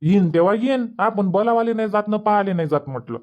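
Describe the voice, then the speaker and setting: Man acting anxious village father, very high male voice, questioning, Vidarbha Marathi accent, comic cartoon, dull muffled recording. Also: lively intonation, fast talker. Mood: worried objecting. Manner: questioning, protesting. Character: worried, reluctant village husband and father. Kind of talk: cartoon comedy dubbing.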